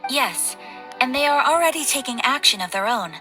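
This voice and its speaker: A courteous guild receptionist in a video game. The voice is fairly high and the phrasing formal and businesslike, with clear background music under it. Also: female voice, lively intonation, normal speed. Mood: earnest, urgent. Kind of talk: video game character acting.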